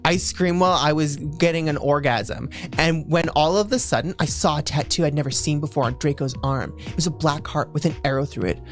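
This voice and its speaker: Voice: medium pitch, brisk, hardly any pauses, faint music behind. A livestream host doing a playful, mock-dramatic reading of fan fiction, breaking off for casual asides.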